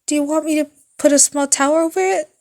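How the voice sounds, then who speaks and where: Fanfic reading: woman as a nervous teen nurse girl, high-pitched, meek, forever apologising. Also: steady tone, quick pace, darkish tone. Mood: anxious, apologetic. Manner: hesitant, meek, stammering apologies. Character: shy, anxious, apologetic teenage girl nurse.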